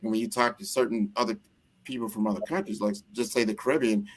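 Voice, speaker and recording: A man's voice: lowish voice, fairly even intonation, fast talker, poor audio. An American man hosting a casual interview, talking earnestly and rambling with frequent restarts. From United States.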